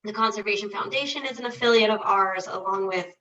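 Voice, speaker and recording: A woman's voice: mid-range voice, sharp tone, roomy sound. A woman presenting as a conservation director on a webinar, getting over a cold, with a nasal voice and a casual, friendly way of talking. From Illinois. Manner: casual, friendly, quick asides. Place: home with rural internet. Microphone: computer mic on a video call. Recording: dull distant video call audio.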